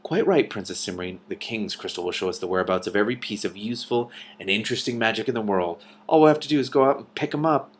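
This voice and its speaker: A man's voice: on the low side, fast talker, hardly any pauses, slight background noise, natural voice. A man doing a pompous, petulant wizard villain. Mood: smug, gloating. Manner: superior, pompous. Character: smug, theatrical male wizard villain, petulant when thwarted.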